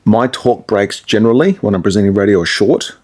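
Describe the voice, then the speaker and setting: Low-pitched man, casual radio talker. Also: fairly quick, darkish tone. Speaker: radio presenter and DJ, interview guest. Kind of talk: casual interview chat, giving advice.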